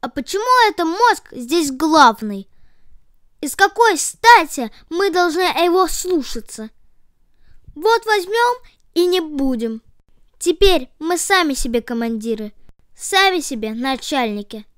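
A woman's voice: high voice, lively intonation, takes it slowly, a bit bright, clean tone, no echo, clean studio sound, full character voice. A woman voicing defiant, grumbling hands in a children's story.

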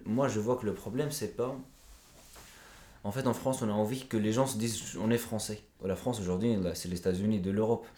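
A man's voice: fairly low pitch, steady tone, a little fast, mellow, reverberant. A man talking as a podcast guest, earnest and reflective, explaining his views at length in a conversational interview.

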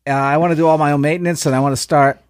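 A man's voice: fairly high pitch, fairly even intonation, rapid-fire, no echo, clean studio sound. Jokey New Jersey man reading an email. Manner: laughs through his reading, jokey. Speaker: podcast co-host reading a listener email. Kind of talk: reading an email aloud, casual chat.

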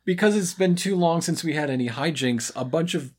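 A woman's voice: deep voice, brisk, darkish tone, husky. A woman co-hosting a podcast with wry, amused commentary and casual banter. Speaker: podcast co-host.

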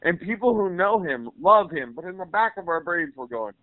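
A man's voice: sits high, animated, moderate pace, no room sound. A man being interviewed by phone, casually ranting in an opinionated, emphatic, nerdy way. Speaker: interview guest. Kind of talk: casual comic rant about films. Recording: dull phone interview audio.

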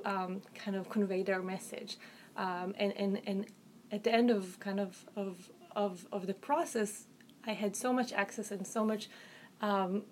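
A woman with an Israeli accent, an author answering interview questions about her research in an articulate, thoughtful way, often pausing with "um" and restarting phrases. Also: mid-range voice, a little hiss or hum. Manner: articulate, hedging with um and you know, frequent restarts.

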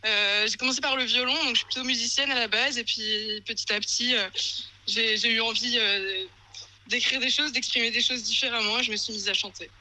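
A woman's voice: on the high side, fairly even intonation, brisk, sharp tone, rough recording. A woman in her mid twenties from Normandy, a singer chatting casually as a guest over an internet call. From Normandy, France. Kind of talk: casual chat.

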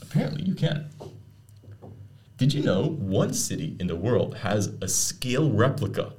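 Low-voiced chatty young man. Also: sing-song, moderate pace, a little mellow, a little hiss or hum. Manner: chatty, shares fun facts with rising enthusiasm. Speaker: podcast co-host. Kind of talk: casual chat.

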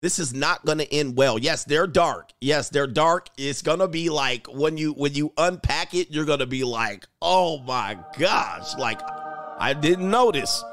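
A man's voice: on the high side, measured pace, a bit bright, no echo, faint music behind. Rambling man reacting on a dry mic. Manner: rambling, joking, trails off mid-thought. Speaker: YouTube commentator. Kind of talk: casual reaction commentary.

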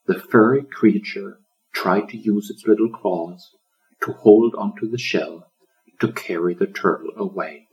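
A man narrating a children's story as an audiobook, in a dramatic, expressive storyteller's manner. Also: on the low side, measured pace, rough recording. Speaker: author reading own audiobook.

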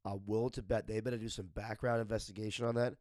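Fast-talking man, low voice, contrarian podcast co-host, keeps brushing things off. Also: flat delivery, darkish tone, no echo, studio quality. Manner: contrarian, dismissive, keeps calling things ridiculous. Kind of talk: casual banter.

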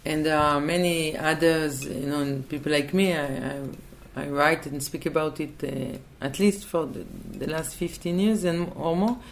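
A woman's voice: sits low, fairly even intonation, normal speed, hardly any pauses, a little hiss or hum. A woman from Israel, answering in a thoughtful interview and hesitating and restarting as she looks for words. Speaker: interview guest.

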